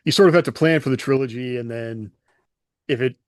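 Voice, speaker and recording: A man's voice: medium pitch, brisk, no room sound. A man hosting a podcast opens the show and steers the chat with easygoing questions. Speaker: podcast host. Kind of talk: casual panel chat, moderating.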